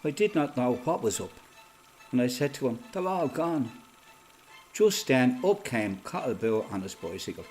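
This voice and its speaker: A man with an Irish accent playing a resolute, plain-spoken freedom fighter giving a matter-of-fact, measured first-person testimony in a radio play. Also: mid-range voice, very animated, darkish tone, a little hiss or hum, natural voice. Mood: matter-of-fact recollection.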